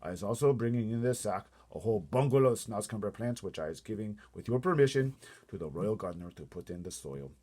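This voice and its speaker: An earnest, good-hearted storybook giant , voiced by a man, speaks in mangled grammar. The voice is husky and swings widely in pitch, pushing each point with emphasis. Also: lively intonation, quick pace, mellow. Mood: explaining. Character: gentle, earnest friendly male giant with quaint grammar.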